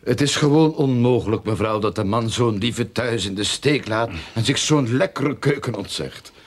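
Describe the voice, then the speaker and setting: A man playing a glib, money-minded private detective boss in a radio play, smooth-talking and mock-humble. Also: medium pitch, moderate pace, lightly acted. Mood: soothing, unctuous.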